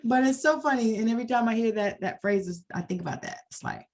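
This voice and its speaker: A woman's voice: a little high, quick pace, a little mellow. A woman hosting an interview over a video call, enthusiastic and affirming, laughing often as she talks. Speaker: interview host. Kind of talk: conversational interview.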